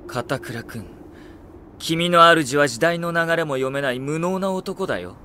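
An actor playing a coolly ruthless, suave young military strategist in an anime audio drama, speaking in a smooth, measured, condescending way. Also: male voice, a little high, animated, bright, forceful tone, a little hiss or hum.

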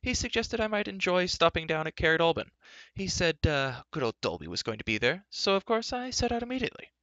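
A man's voice: high voice, big pitch swings, brisk, pushed and bright, dry recording, lo-fi sound, pitched above the performer's own voice, exaggerated voice. A man voicing a flamboyant, blustering bard and king in a fantasy audiobook, effusive and easily flustered. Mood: airy, boastful. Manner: effusive, flustered, hesitant boasting.

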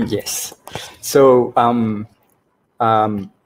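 A man from Ethiopia, a researcher introducing himself on an online panel in a measured way, with frequent fillers. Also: mid-range voice, steady tone, a little hiss or hum, low-quality recording. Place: home office. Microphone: headset on a video call.

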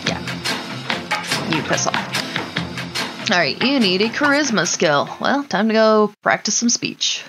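Female gaming host, lively varied pitch, offhand self-talk and casual swearing, music underneath. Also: takes it slowly, long pauses. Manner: talks to the game characters, muttering to self. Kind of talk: casual let's play commentary.

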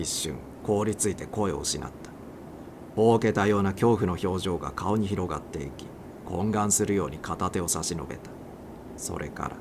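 A man's voice: low-pitched, background noise. A man reading a suspense short story aloud as a dramatic audiobook narrator.

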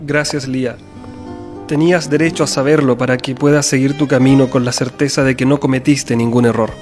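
A man's voice: medium pitch, steady tone, brisk, darkish tone, faint music behind, lightly acted. A man playing an earnest, tender, conflicted suitor in a radio soap, speaking in a heartfelt, solemn way. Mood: solemn, grateful.

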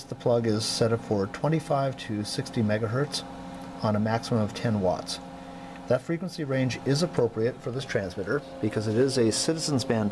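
A man giving a methodical hands-on demonstration of electronics test equipment as an instructor, clearing his throat now and then, at a workbench indoors. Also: on the low side, noisy background. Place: indoor workroom.

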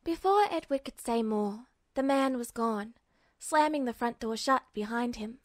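A woman's voice: on the high side, expressive, a little slow, no room sound, studio quality. A woman reading a story aloud as a scripted fiction narrator. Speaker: audiobook narrator.

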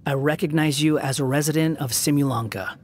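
A man's voice: fairly high pitch, a little fast, slight background noise, natural voice. A man voicing a proud, aloof young wanderer in a video game, curt and exasperated but grudgingly kind. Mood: solemn.

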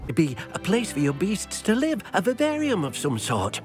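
Over clear music, a small house-elf from a fantasy video game. He is high-pitched and animated, eager to help and kindly. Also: normal speed. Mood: helpful, pleased. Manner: refers to himself by name, helpful. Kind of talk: video game character dialogue. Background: clear background music.

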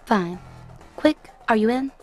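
A woman's voice: fairly high pitch, lively intonation, slow, soft background music. A woman playing a playful, ditzy but confident glamorous bodyguard boss in a video game. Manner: playful, cutesy. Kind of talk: video game cutscene voice acting.

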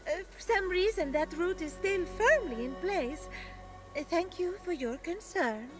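Dainty high-voiced tree goddess. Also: female voice, fairly bright tone, exaggerated voice, rough recording. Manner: gracious, dainty, measured. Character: gentle, courteous goddess turned talking tree, dreamy and tender. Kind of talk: video game cutscene acting.

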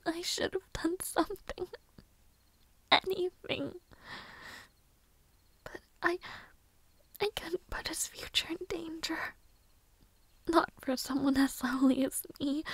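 A woman playing a high, whispery, sorrowful young maid. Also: dry recording, exaggerated voice. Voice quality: soft, breathy, often whispering. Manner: hesitant, slow, emotional pauses. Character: sorrowful, lovelorn young maid, weary and self-doubting. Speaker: audio roleplay performer. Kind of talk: intimate audio roleplay monologue.

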